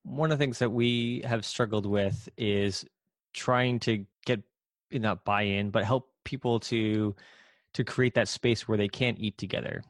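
Measured male host, low voice. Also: steady tone, no echo. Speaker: interview host working in healthcare. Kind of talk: conversational interview questions.

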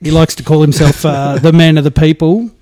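A man's voice: a little high, flat delivery, a little fast, dark tone, slight background noise. A man co-hosting a casual podcast, with teasing, dry banter. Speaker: podcast co-host. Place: home studio in a share house.

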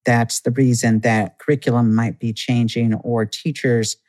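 An earnest man is an interview guest who lays out his points in a steady, explanatory way. His voice sits at a middling pitch for a man, and he speaks calmly and with conviction. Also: a little slow. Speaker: guest, Native community organizer. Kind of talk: conversational interview.

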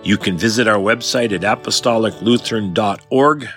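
A man, a pastor, giving a devotional with scripture reading and prayer in a solemn, measured, formal way. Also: fairly low pitch, a bit bright, soft background music. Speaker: pastor and podcast host.

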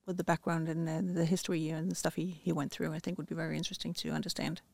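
A woman's voice: sits low, level tone, quick pace, gravelly, a little mellow, dry recording. A woman who heads a company department, talking as a podcast guest in a casual, thoughtful way with frequent restarts.

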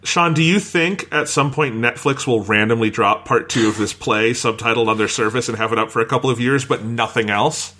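A man's voice: mid-range voice, a little fast, hardly any pauses, pushed and bright. A man hosting a podcast, chatting informally and swearing casually. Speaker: podcast host.

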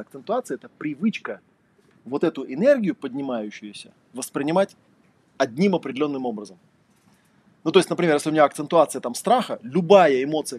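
Husky, fast-talking man lecturing. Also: on the high side, no room sound. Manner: conversational, filler-heavy, rhetorical questions. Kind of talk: casual lecture.

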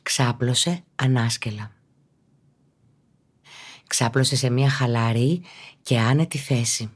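A woman calmly narrates a guided relaxation practice as a teacher, in a measured, instructional way. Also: extremely low pitch, husky. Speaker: yoga and meditation teacher, podcast host.

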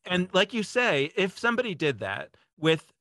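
A man's voice: high-pitched, big pitch swings, moderate pace, sharp tone, no echo, studio quality. A man speaking as a podcast guest, explaining ideas in a casual, discursive way as he thinks aloud.

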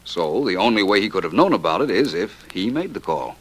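An actor playing a suave, self-assured amateur detective in an old radio drama, urbane, dryly witty and commanding. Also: male voice, low voice, sing-song, fairly quick. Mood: playful, explanatory. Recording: noisy old radio play recording.

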